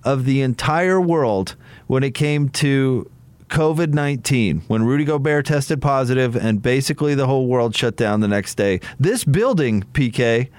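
An American man chats about sports on the radio in a medium-pitched voice. He talks conversationally, hesitating and restarting phrases as he works toward a point. The sound is close and dry. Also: animated, moderate pace, a little mellow, no room sound. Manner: conversational, hesitant, repeats words as he builds his point. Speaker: sports radio commentator and guest. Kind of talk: casual sports radio discussion.

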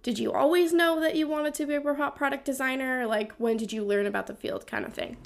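A woman's voice: sits high, brisk, creaky. A woman hosting a podcast, interviewing in a casual, chatty way with plenty of "like" sprinkled through her sentences. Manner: informal, frequent "like" as a filler.

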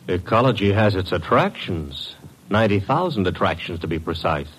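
A man's voice: low-pitched, animated, normal speed, fairly bright tone, slight background noise, poor audio. An actor playing a cocky, wisecracking detective's legman, wry and slangy, in an old-time radio drama. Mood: wry, breezy.

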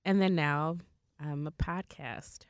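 Low-voiced blunt woman podcast host. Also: fairly even intonation, slow pace, no echo, studio quality. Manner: blunt, swears freely, many "like" fillers. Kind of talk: candid casual chat.

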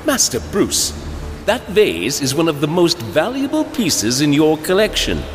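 An actor playing a dry, formal, loyal butler in a superhero audio drama. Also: male voice, fairly high pitch, wildly swooping pitch, measured pace. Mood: impressed, concerned. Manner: formal, wry, deferential. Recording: long reverb, clearly noisy background.

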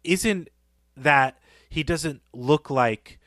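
A man co-hosting a podcast, discussing literature conversationally in a reflective, measured way. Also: a little high, fairly bright tone, no room sound, clean studio sound. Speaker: podcast co-host.

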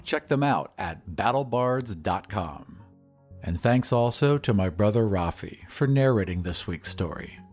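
A man's voice: fairly low pitch, lively intonation, a little slow, darkish tone, faint music behind. Male podcast host with very varied intonation. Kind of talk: scripted credits announcement.

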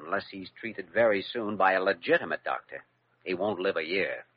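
An actor playing a principled young doctor in a 1940s radio drama, earnest and determined. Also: male voice, sits low, expressive, a little fast, heavy background noise. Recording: dull, dry lo-fi old radio broadcast.

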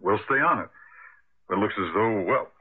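Anxious husband in muffled radio drama. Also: male voice, on the low side, expressive, moderate pace, breathy edge. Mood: relaxed, puzzled. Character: anxious, easygoing husband driving to his in-laws. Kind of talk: 1980s radio drama acting. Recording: muffled, narrow-band radio broadcast, music behind.

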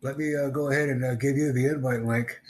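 A man runs a live online English lesson over a video call. His pitch sits in an ordinary middle range, and he talks in an upbeat, repetitive way, tossing in simple Spanish greetings. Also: fairly even intonation, fast, noisy background. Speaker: English teacher streaming a live class. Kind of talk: casual live-stream chat.

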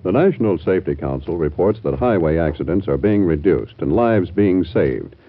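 A male radio announcer reading a formal, emphatic public service script in a 1940s style. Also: low-pitched. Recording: tinny, band-limited old radio broadcast.

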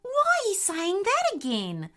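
A woman's voice: a little high, animated, slow, a bit bright. A woman voicing a sensible, easily exasperated female tawny frogmouth bird in a children's story.

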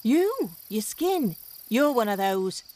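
Woman playing a high, sardonic elf adventurer. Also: sing-song, slow, a little mellow, full character voice. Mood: suspicious. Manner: sardonic, blunt. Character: sharp-tongued, suspicious elf woman adventurer, impatient and threatening. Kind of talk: fantasy comedy audio drama acting.

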